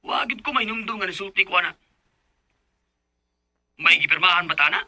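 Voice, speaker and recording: Sharp, high-voiced bullying boss. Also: male voice, fairly quick, no room sound, studio quality. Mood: scornful. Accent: Cebuano Filipino. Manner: mocking, curt, confrontational. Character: scornful, bullying male office manager. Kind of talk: Bisaya radio drama acting.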